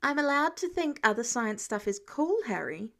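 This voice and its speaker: Woman voicing an excitable, nerdy teenage boy. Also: on the high side, normal speed, gravelly, heavily acted. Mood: reassuring. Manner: excitable, earnest. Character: eager, nerdy teenage boy science prodigy.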